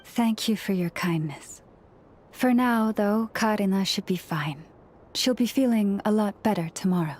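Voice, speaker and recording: A woman playing a serene, devout young priestess and healer in a video game, speaking in a gentle, measured, formal way with quiet authority. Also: mid-range voice, a little hiss or hum, close to an everyday voice. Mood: calm, reassuring.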